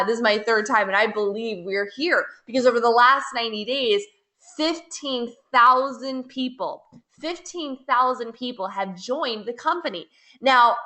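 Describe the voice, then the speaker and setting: Give me a bright-voiced American woman pitching hard. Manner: upbeat, persuasive pitch with repeated phrases. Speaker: network marketing team leader. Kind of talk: webinar sales presentation.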